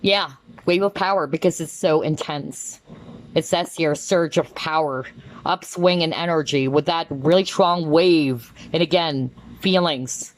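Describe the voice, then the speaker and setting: A woman giving a casual tarot card reading, chatty and animated, often exclaiming and checking in with tag questions. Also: low voice, a little slow, slight background noise, rough recording. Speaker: tarot reader.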